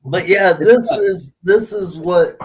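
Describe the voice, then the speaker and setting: A man's voice: high-pitched, slow pace. A man hosting a podcast in a casual, heartfelt way, swearing freely and talking to listeners like friends. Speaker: podcast host. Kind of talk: casual heartfelt monologue and chat.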